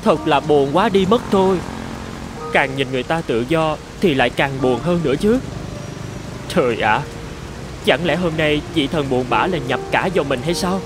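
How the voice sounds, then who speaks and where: A man playing a gloomy, self-pitying traffic light that longs for freedom, complaining plaintively in an animated fairy tale. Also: on the high side, normal speed, natural voice. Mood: sad, complaining. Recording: music under the voice.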